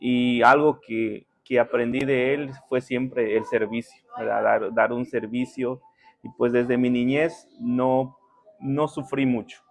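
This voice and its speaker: A young man from Guatemala whose first language is K'iche', a podcast guest telling his life story humbly and thankfully, with many pauses. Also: medium pitch, flat delivery, vocal fry. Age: young adult. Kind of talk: conversational interview.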